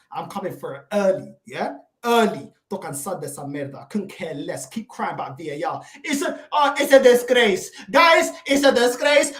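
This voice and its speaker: A woman's voice: on the high side, a bit bright, reverberant. A woman on a remote call to a football fan panel, ranting in a fiery, mocking way and throwing in Portuguese insults. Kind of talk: heated fan rant on a panel show.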